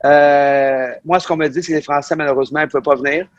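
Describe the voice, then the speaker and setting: Un homme québécois à l'accent québécois, agent de voyage, qui répond en entrevue de façon détendue avec beaucoup d'hésitations. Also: male voice, fairly high pitch, fast, no room sound, dull audio. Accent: Québécois French. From Quebec. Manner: relaxed, with frequent fillers. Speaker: travel agent and guest. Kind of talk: casual interview.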